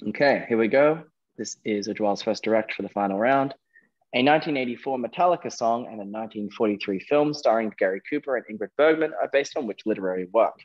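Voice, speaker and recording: A man's voice: fairly low pitch, husky, highs cut off. A man hosting a quiz, reading out questions and giving verdicts on answers. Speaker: quizmaster.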